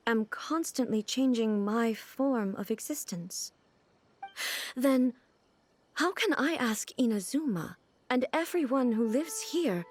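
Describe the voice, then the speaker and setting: An actress playing a calm, formal female ruler goddess in a video game, speaking in a measured, reflective way. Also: a little high, lightly acted. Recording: game soundtrack under voice.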